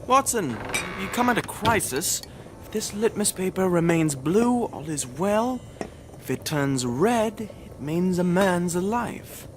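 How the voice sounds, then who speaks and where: An actor playing a brisk, eccentric gentleman detective in an audio drama, with a theatrical, self-assured delivery. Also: high voice, animated, slight background noise. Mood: intent, dramatic.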